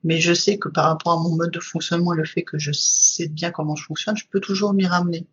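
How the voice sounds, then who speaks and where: A woman's voice: on the low side, little pitch movement, brisk, slightly rough, highs cut off. A woman, a guest trainer, explaining her subject at length in casual conversation, with frequent fillers.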